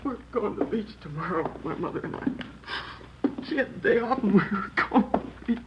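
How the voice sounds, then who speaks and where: A man playing a shaken, upset schoolboy in a 1950s radio crime drama, speaking haltingly and plainly with an American accent. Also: high-pitched, animated. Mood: distraught. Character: shaken schoolboy who found his mother killed. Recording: dull, noisy vintage radio recording.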